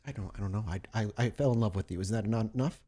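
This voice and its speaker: A man voicing an obsessed young female copycat killer in a tabletop role-play, defiant and evasive, halting and repeating words. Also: on the low side, mellow, natural voice. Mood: defensive, agitated.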